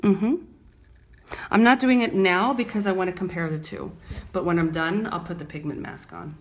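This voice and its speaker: Low-pitched American woman teaching hands-on. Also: steady tone. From Brooklyn, New York, United States. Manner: clear, deliberate enunciation. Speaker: microblading instructor and artist. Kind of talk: live demonstration with casual explanation.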